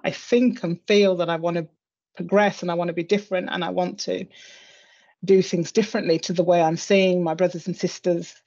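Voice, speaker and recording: A middle-aged woman with a British accent speaking reflectively and in a measured way as an interview guest on a video call. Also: lowish voice, level tone, a little mellow. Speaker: interview guest, senior executive. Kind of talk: reflective personal conversation. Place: indoor room on a video call. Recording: slightly dull video call audio.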